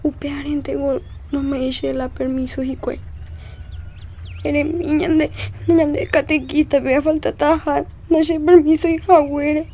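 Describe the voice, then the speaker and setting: Distressed village girl: high, slow, Paraguayan accent, radio drama. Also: level tone, warm tone, a little hiss or hum. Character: Rosa, distressed village girl refused permission for the festival. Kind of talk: radio soap opera acting in Jopara.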